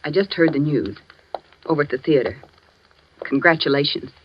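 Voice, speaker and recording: A woman's voice: sits low, expressive, normal speed, noisy background. An actress playing a jilted, jealous and vengeful woman in a 1940s radio melodrama, intense and theatrical. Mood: hurt, bitter. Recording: muffled old radio recording.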